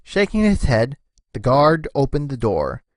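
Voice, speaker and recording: Very low-voiced woman narrator. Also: big pitch swings, a little slow, no room sound. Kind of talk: expressive scripted story narration.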